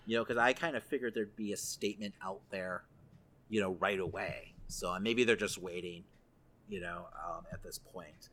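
A man's voice: deep voice, moderate pace, fairly bright tone. A man co-hosting a podcast, chatting casually through entertainment news. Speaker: podcast co-host.

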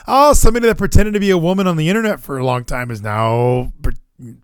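A man's voice: on the high side, quick pace, clean tone, no echo. An American man in casual sports chat, rambling and opinionated, swearing freely as he goes. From Hawaii, United States. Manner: rambling, animated, profane, opinionated.

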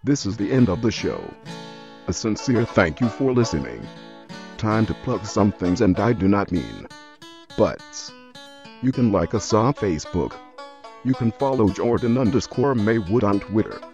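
A man reading a scripted podcast outro in a deadpan, formal manner over guitar music. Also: lowish voice, a little slow. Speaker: podcast host reading the closing plugs. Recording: dull lo-fi recording under guitar music. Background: plucked guitar music.

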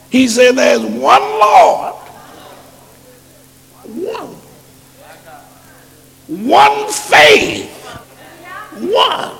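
A hoarse man a sermon in a chanting style that builds into shouts. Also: extremely high pitch, in a large hall. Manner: chanted preaching rising to shouts. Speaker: preacher.

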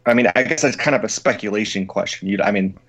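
A man's voice: fairly low pitch, fast talker, a bit bright. Hesitant man asking questions. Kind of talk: casual interview questions.

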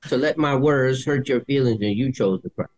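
A man's voice: mid-range voice, fairly quick, a little mellow, low-quality recording. A middle-aged man co-hosting a nursing podcast, talking bluntly with lots of swearing in a casual debate. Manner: blunt, profane, straightforward. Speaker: podcast co-host, nurse.

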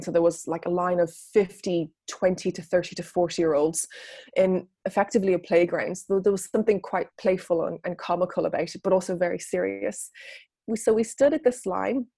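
A woman's voice: medium pitch, a little mellow, slightly rough, no echo. A woman coach and author, talking earnestly through a personal story in an interview answer. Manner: earnest, storytelling, frequent restarts.

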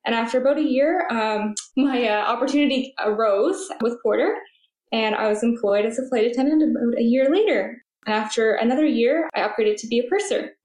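A woman's voice: fairly high pitch, fairly quick, darkish tone. A young woman from Atlantic Canada, a flight attendant, answering interview questions in a chatty way with many ums. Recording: roomy echo.

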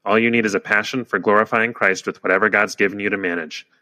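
A man's voice: fairly low pitch, fairly even intonation, fast talker, pushed and bright. A young man hosting a podcast, reading the show intro in an upbeat, polished way. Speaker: podcast host.

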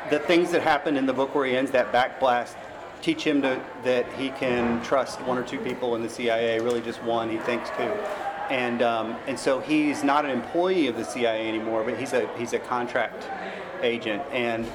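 A man's voice: medium pitch, fairly even intonation, moderate pace. American man chatting amid background noise. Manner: informal, rambling explanations. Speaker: novelist being interviewed. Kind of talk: casual interview chat. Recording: clearly noisy background.

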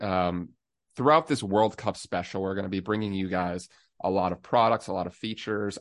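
A young man co-hosting a podcast, upbeat and casual as he interviews a guest. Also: on the low side. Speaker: podcast co-host.

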